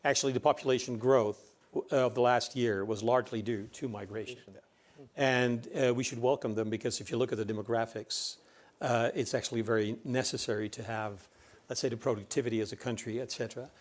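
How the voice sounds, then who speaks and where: A man's voice: medium pitch, steady tone, brisk. A man from the Netherlands with a Dutch accent, speaking earnestly as a panelist. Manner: earnest, emphatic repetition. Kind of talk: conference panel discussion.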